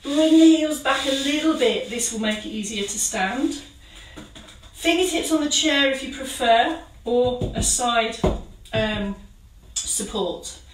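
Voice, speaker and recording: A woman leading a gentle exercise class, giving calm, encouraging step-by-step cues. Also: fairly high pitch, takes it slowly, far from the mic, noisy background. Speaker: exercise instructor. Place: kitchen. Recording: roomy sound from away from the mic.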